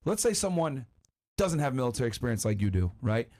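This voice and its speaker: A man hosting an informal interview, prompting his guest with short questions and quick acknowledgments. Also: medium pitch, sing-song, darkish tone. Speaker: podcast host, interviewer. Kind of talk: casual interview.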